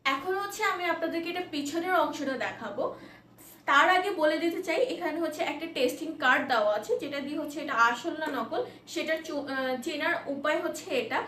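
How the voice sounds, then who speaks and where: A Bangladeshi woman with a high voice gives an informal product demo. She explains step by step, a little haltingly, and drops in English words. The recording is echoey and distant from the microphone. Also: pushed and bright. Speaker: product presenter. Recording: echoey room, distant mic, faint noise.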